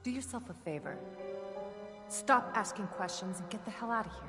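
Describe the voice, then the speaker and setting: A woman's voice: mid-range voice, normal speed, lightly acted. An actress playing a cool, guarded female federal agent in a video game, curt and commanding. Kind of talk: dramatic video game acting. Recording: long reverb, music behind. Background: music.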